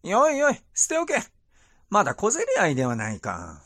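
An actor playing a capricious, cruel regent who speaks in an affected, gloating lordly way in a Japanese period audio drama. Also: male voice, sits high, wildly swooping pitch, normal speed, fairly bright tone, heavily acted. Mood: gloating excitement.

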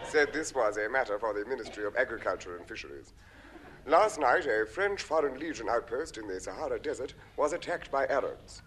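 An actor in a 1950s British radio comedy playing a formal, straight-faced newsreader delivering a mock bulletin. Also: male voice, mid-range voice, brisk, close to an everyday voice. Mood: deadpan. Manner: formal, deadpan. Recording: very noisy old radio recording.

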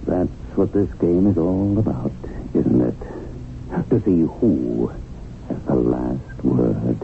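Gravelly, rock-bottom-deep smug devil. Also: male voice, lively intonation, slow pace, full character voice. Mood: gloating confidence. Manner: smug, mock-apologetic, taunting. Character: confident, boastful male agent of evil, a devilish tempter. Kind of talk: radio drama acting. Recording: tinny, band-limited radio recording with faint noise.

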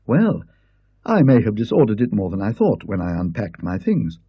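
A man's voice: lowish voice, huge pitch swings, normal speed, creaky, slightly rough, no room sound, full character voice. A man voicing a prim, fussy middle-aged professor who speaks precisely, with slight hesitancy. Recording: dull lo-fi recording.

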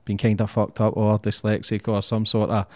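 A man's voice: on the low side, monotone, normal speed, a little mellow. A man hosting a podcast, chatting casually with a blunt, encouraging manner and free swearing. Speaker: podcast host. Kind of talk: casual interview chat.

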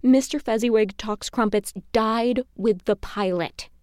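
An actress playing a blunt, opinionated fan club head being interviewed, wry, emphatic and self-assured. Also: female voice, fairly high pitch, unhurried, a little mellow, dry recording, studio quality, close to an everyday voice. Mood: emphatic, baffled. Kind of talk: conversational interview in audio drama.

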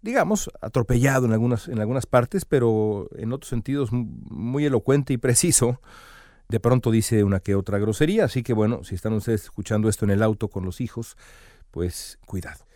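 A man's voice: mid-range voice, animated, a little fast, warm tone, no room sound, studio quality. A man delivering earnest, emphatic news commentary as the show's host.

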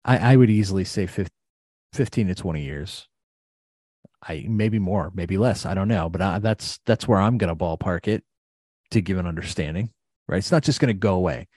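A man's voice: deep voice, normal speed, a little mellow, no echo, clean studio sound. A middle-aged American man giving an impassioned personal account, blunt and emphatic, repeating phrases and swearing. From Ohio, United States.

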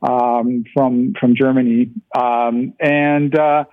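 A man in his sixties, a guest artist whose first language was Ukrainian, answering interview questions haltingly with many restarts. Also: mid-range voice, level tone, no room sound. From Canada. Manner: hesitant, many restarts and fillers. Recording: tinny dry phone line.

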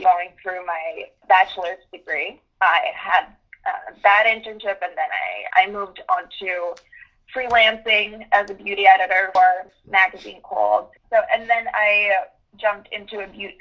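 A woman in her twenties, from Ukraine, answering interview questions with many pauses and restarts. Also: mid-range voice, fairly even intonation, dull audio. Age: 20s. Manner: thoughtful, with many ums and restarts. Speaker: guest journalist.

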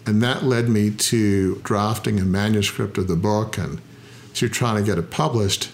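A middle-aged man talking in an interview, reflective and self-deprecating, often pausing with "uh" as he thinks. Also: fairly low pitch, slight background noise. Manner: thoughtful, self-deprecating, frequent "uh" pauses. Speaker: founder and interview guest.

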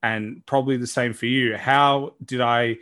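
Man, deep voice, earnest and reflective podcast host. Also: fairly even intonation, unhurried, fairly bright tone. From Australia. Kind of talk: casual interview talk.

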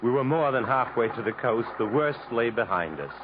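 An actor playing a determined, protective adventurer in an earnest, urgent, dramatic 1950s radio style. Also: male voice, medium pitch, expressive, moderate pace, close to an everyday voice. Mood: grave narration. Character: determined, protective English adventurer stranded in the jungle. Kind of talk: 1950s American radio drama acting, with first-person narration. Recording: muffled, noisy 1950s radio broadcast.

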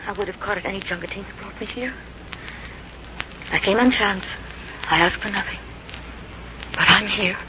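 A woman's voice: mid-range voice, normal speed, long pauses, lightly acted. An actress playing a lovelorn, pleading woman envoy, formal and theatrical, in a 1950s radio drama. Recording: muffled, hissy 1950s radio recording.

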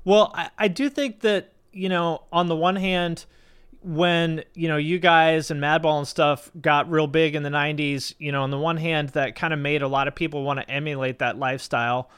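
A man's voice: fairly high pitch, steady tone, moderate pace, fairly bright tone, clear, resonant tone. A man speaking as a podcast host in a casual, conversational way, peppered with "like" and "you know". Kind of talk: casual interview chat and sponsor read.

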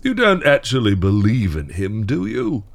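Glum, low-voiced fake Santa. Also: male voice, big pitch swings, normal speed, gravelly. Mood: deadpan. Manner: grumbling, sardonic, reluctant. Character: glum, world-weary fake Santa from a Christmas theme park. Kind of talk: scripted audio drama acting.

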